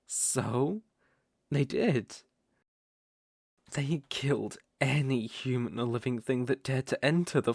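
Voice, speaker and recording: A performer voicing a smooth-talking naga captor in an ASMR roleplay, doting and sweetly sinister, coaxing with drawn-out endearments. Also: medium pitch, no echo, studio quality. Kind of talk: ASMR roleplay, slow intimate storytelling.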